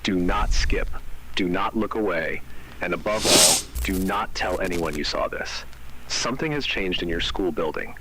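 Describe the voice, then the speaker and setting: A man's voice: deep voice, moderate pace, close to an everyday voice. A man playing a stern secret-organization broadcaster, narrating scripted horror in an ominous, commanding way on a faintly noisy recording.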